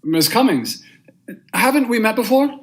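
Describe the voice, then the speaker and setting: Young male actor, stern judge, vintage radio drama, formal measured delivery, slightly high voice. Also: very animated. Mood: polite, controlled. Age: young adult. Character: stern, unmoved male judge, husband and brooding narrator.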